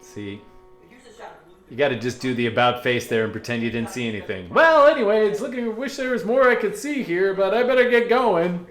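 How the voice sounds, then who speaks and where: A man hosting a reaction video, chatting casually and musingly in halting asides as he comments on a cartoon. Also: high-pitched, lively intonation, fairly bright tone, faint music behind.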